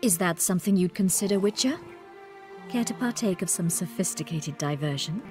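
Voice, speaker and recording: A woman's voice: on the low side, lively intonation, moderate pace, darkish tone, soft background music, close to an everyday voice. A woman playing a refined, coolly gracious noblewoman in a video game, speaking formally and elegantly. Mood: gracious, poised.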